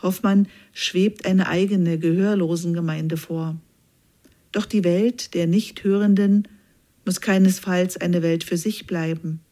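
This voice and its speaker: A woman reading a scripted news feature aloud in an even, measured way. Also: lowish voice. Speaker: news reader.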